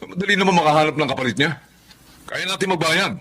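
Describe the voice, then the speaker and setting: A man's voice: on the high side, quick pace, bright, forceful tone, a little hiss or hum. A man with a Filipino accent playing an indignant, hard-hearted, well-off husband in a radio drama, grumbling and scolding.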